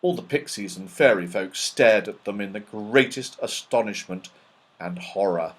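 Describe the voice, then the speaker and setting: A man reading a children's story aloud as scripted narration, in an expressive, dramatic storytelling manner. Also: on the low side, moderate pace, husky. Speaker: audiobook reader.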